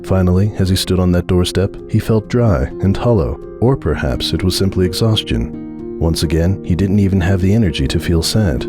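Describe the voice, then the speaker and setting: A man's voice: low-pitched, animated, moderate pace, music in the background. A man reading a story aloud as narrator, in a dramatic scripted narration style. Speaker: narrator of fan fiction readings.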